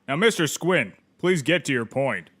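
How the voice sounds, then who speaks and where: A man's voice: on the high side, expressive, normal speed, noisy background, close to an everyday voice. A man playing a stern, exasperated courtroom judge in a comedy audio drama, commanding and formal.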